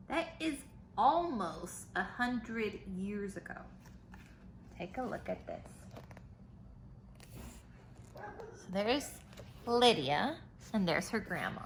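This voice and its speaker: Bright-voiced woman reading aloud to children. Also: a little high. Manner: simple explanations and questions addressed to young listeners. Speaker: teacher reading a picture book aloud to children. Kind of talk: read-aloud storytime with explanatory asides. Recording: roomy echo, faint background noise.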